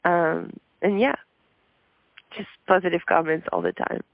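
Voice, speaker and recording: A woman with a hoarse voice from a throat issue answers interview questions in a shy, halting way, with many pauses and little laughs. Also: fairly low pitch, steady tone, no echo, lo-fi sound. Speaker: musician guest.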